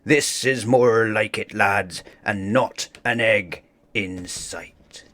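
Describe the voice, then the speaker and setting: A man's voice: fairly low pitch, slow pace, fairly bright tone. A man voicing a plucky, blustery pirate captain rallying his crew in a children's storybook. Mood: cheery. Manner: blustery pirate talk with arrs and mateys.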